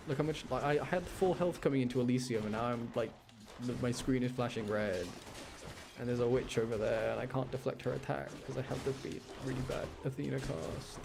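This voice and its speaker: A man's voice: medium pitch, fairly even intonation, brisk, vocal fry, music in the background. A man giving casual gameplay commentary, lightly grumbling and self-deprecating as he explains what he is doing. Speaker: gamer playing and commenting, channel host. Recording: noticeable background noise.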